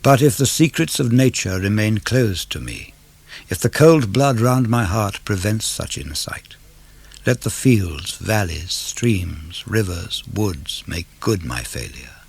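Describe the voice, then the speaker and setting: Male verse reader, gravelly and closely dry, measured declamatory delivery for a radio poetry programme. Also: fairly low pitch, big pitch swings, no room sound. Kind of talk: dramatic verse reading of classical poetry for radio.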